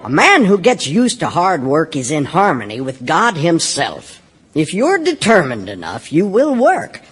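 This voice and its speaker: A brusque, commanding boss with a fairly high, very animated voice. He pontificates and barks blunt orders, stage play style. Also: measured pace, soft background music, heavily acted. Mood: preachy. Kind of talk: audio stage play dialogue.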